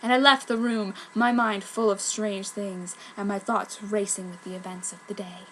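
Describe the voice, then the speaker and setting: A woman's voice: medium pitch, fairly even intonation, moderate pace, fairly bright tone, slight background noise, rough recording. A woman reading fan fiction aloud as a dramatic narrator.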